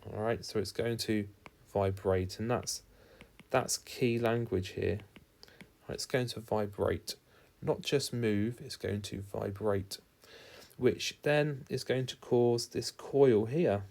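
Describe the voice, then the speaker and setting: A man's voice: lowish voice, takes it slowly, vocal fry, a little mellow. A man giving an explanatory lesson as a teacher, talking informally and stressing key words. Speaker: physics teacher.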